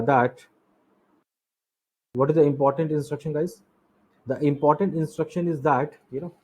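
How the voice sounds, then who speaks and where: Husky Indian man teaching a lesson. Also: mid-range voice, a little mellow, low-quality recording. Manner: repetitive, emphatic explaining with rhetorical questions. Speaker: exam coaching instructor. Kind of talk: explanatory lecture over slides.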